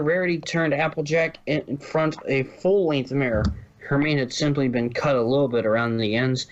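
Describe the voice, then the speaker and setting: A male narrator reads fan fiction in a medium-pitched voice. He follows a script, occasionally tripping on words, and the recording is a bit dull and lo-fi. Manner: stumbles over words and corrects himself.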